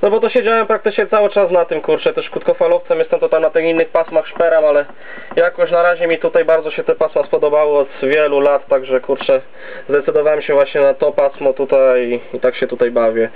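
A man with a fairly high voice talks over a thin, phone-like radio channel. He is chatty and loose, making casual contact as a radio operator. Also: level tone, fairly quick. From Poland. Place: home base station. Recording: narrow, tinny CB radio audio.

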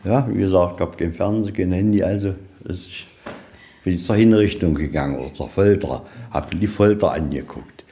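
A man's voice: low-pitched, normal speed, dark tone. A man guiding visitors through a museum in a folksy, rambling way, telling anecdotes informally. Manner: folksy, rambling storyteller, tags sentences with "ja". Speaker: museum guide. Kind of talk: informal guided tour with anecdotes. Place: inside a museum, on location.

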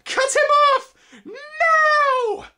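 A man voicing fussy giant glass insect gardeners in a storybook reading, shrill, screechy and indignant. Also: very slow, sharp tone, exaggerated voice. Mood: screeching. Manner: squabbling, indignant.

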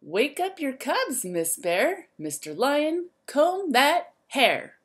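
A woman's voice: sits high, big pitch swings, takes it slowly. A woman in her thirties, a teacher reading a picture book aloud to young children in a sing-song way, speaking to them directly.

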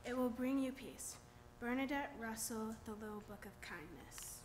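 A woman's voice: a little high, lively intonation, normal speed, background noise. A young woman reading a series of quotations at a church lectern, naming each author after. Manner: reads quotes then names the author. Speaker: reader. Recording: echoey church room.

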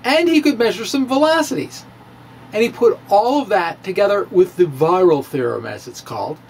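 A middle-aged man giving a theatrical reading of popular science, with drawn-out emphasis and pauses. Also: high-pitched, animated, creaky, slight background noise, low-quality recording. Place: small room with bookshelves. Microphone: handheld-style microphone on a stand, close in front.

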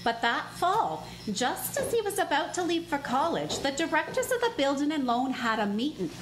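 A woman narrating a story in an amateur radio play, telling it in a lively way. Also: sits high, moderate pace, reverberant, noisy background. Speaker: narrator and female character.

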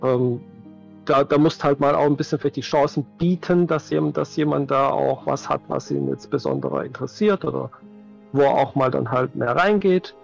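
A man's voice: a little high, moderate pace, gravelly, muffled recording. A man in his forties giving casual interview answers as a game master, thinking aloud and repeating words as he searches for them. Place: indoors at home, video call. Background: background music.

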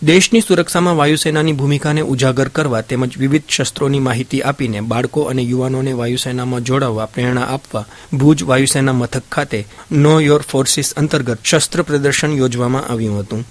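A man's voice: medium pitch, fairly even intonation, a little fast, soft background music. Male radio news presenter. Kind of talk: scripted radio news narration.

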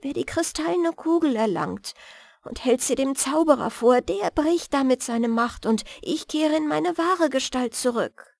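A woman puts on a sorrowful enchanted princess from a fairy tale, gentle and plaintive. The voice is lifted high and soft, in a dry recording. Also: expressive, a little slow, vocal fry, no echo, heavily acted. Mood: sad, pleading.

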